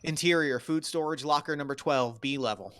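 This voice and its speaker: A man's voice: a little high, fairly quick, noisy background. A man narrating stage directions at a script table read in terse, clipped, dramatic phrases.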